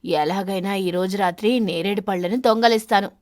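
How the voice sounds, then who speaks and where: A woman voicing a boastful thief crow in an animated story, mocking and scheming. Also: medium pitch, normal speed, no echo, studio quality, voice pushed down, natural voice. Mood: gloating.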